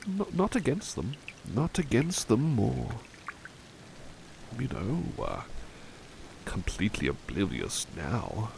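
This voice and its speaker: A man playing a relaxed, polite, old-fashioned butler in a soft-spoken ASMR roleplay, musing hesitantly in formal wording. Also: mid-range voice, slow pace, vocal fry, pauses often, noisy background, exaggerated voice. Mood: musing, modest.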